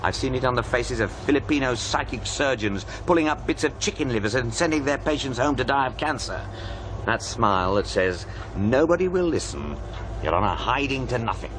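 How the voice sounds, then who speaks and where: An actor playing a wry, cynical paranormal sceptic, sardonic and world-weary in naturalistic audio drama dialogue. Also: male voice, mid-range voice, lively intonation, brisk, noisy background, natural voice. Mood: bitter.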